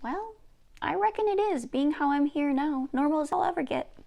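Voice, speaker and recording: A woman's voice: sits high, expressive, moderate pace, gravelly, mellow, pitched above the performer's own voice. A woman voicing a folksy, plain-spoken guardian character in a children's story. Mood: matter-of-fact.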